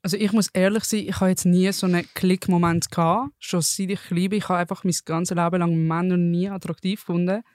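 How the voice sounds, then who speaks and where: A woman in her twenties from Basel with a Swiss German accent, a student guest speaking candidly and quickly. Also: on the low side, level tone, a little mellow, no echo. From Basel, Switzerland. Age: 20s. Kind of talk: casual podcast chat.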